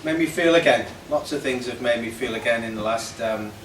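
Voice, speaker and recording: Echoey, halting man in his fifties. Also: mid-range voice. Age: 50s. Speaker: birthday honoree giving a speech. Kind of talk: impromptu thank-you speech. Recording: echoey, noisy room, distant microphone.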